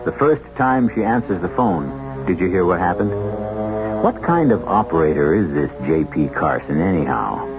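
A man's voice: low-pitched, animated, moderate pace. A man hosting a 1970s radio drama with wry, theatrical scripted narration. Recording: muffled 1970s radio broadcast, music behind.

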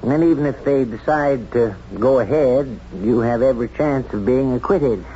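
A man's voice: mid-range voice, warm tone, lightly acted. Folksy sheriff on muffled old radio, played by a man. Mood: measured, reassuring. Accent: American. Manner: folksy, halting, candid. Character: plain-spoken, self-doubting, lovestruck small-town sheriff, adult man. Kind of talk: 1970s radio drama acting. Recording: dull, noisy 1970s radio drama recording.

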